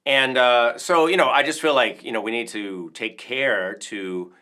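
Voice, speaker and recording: A man hosts an informal livestream in a bright, crisp-edged voice at an average male pitch. He talks like a percussion teacher showing off favorite gear, rambling along casually with clear enthusiasm. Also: a little slow.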